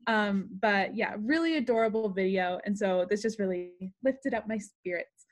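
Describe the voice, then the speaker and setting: A woman's voice: mid-range voice, normal speed. Young woman, podcast co-host, chatty, video call audio. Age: young adult. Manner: chatty, animated, frequent "um". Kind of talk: casual chat over video call.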